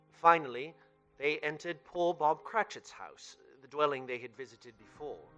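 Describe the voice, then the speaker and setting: A man narrating a scripted radio play with measured, dramatic storytelling. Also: mid-range voice, sharp tone.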